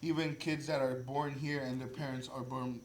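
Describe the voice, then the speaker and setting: A man's voice: mid-range voice, flat delivery, normal speed. A man in his early twenties co-hosting a casual podcast, informal and sweary, feeling his way through his sentences. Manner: informal, swearing, hesitant phrasing. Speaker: podcast host. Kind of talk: casual group podcast chat. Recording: echoey room, faint background noise.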